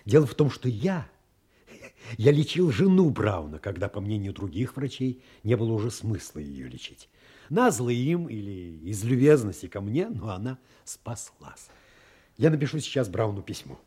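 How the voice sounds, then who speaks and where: An actor playing a thoughtful, obliging doctor and wry confidant in an audio drama, musing hesitantly with dramatic pauses. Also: male voice, lowish voice, very animated, warm tone, husky. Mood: helpful, deliberate.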